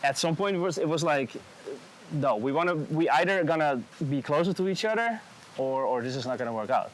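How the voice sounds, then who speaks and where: A man from the Netherlands with a Dutch accent, a music producer being interviewed while walking along a city sidewalk, talking in a laid-back, unhurried way and searching for his words. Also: on the high side, lively intonation, a little hiss or hum, poor audio. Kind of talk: casual interview chat.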